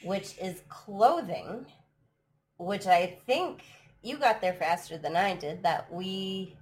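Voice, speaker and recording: Brief: woman, live stream host, throaty from allergies, chatty, laughs a lot, mid-pitched voice. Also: expressive, slow, darkish tone. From United States. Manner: chatty, laughs often, many restarts. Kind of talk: casual chat. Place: at home abroad, live stream.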